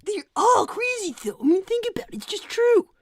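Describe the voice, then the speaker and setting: A woman's voice: extremely high pitch, brisk, no room sound, clean studio sound. A woman voicing an anxious, earnest young boy fox in improvised cartoon-character livestream chat. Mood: worried, matter-of-fact. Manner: excitable, exasperated, earnest.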